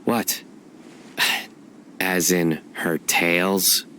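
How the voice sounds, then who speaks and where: Deadpan stern guy, low slow voice, dry puns, game scene music behind. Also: background noise. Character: stern young man with deadpan wordplay.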